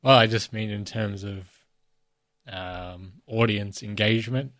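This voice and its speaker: A man co-hosting a casual podcast, croaky from a cold, asking questions and gently teasing. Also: on the low side, a little slow. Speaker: podcast co-host. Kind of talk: casual chat.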